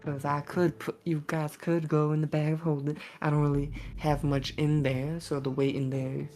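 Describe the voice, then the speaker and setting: A woman voicing a Southern adventurer in a tabletop game, talking haltingly and folksily with a Southern US accent. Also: low voice, flat delivery, mellow, soft background music, natural voice. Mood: worried, explaining. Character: Southern-drawling adventurer woman addressing companions as darlings.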